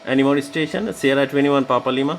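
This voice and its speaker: A man's voice: medium pitch, level tone, slow. A man with a Bangladeshi accent, an amateur radio operator making radio calls and explaining casually. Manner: spells call signs in phonetic alphabet. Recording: very noisy, radio static and clicks.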